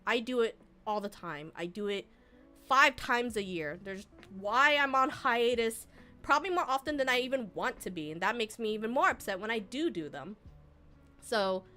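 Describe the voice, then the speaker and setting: Bright-voiced young woman streamer rambling earnestly. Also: fairly high pitch, expressive, moderate pace, soft background music. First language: Vietnamese. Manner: earnest, rambling, with frequent laughs and restarts. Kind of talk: casual advice chat to stream chat.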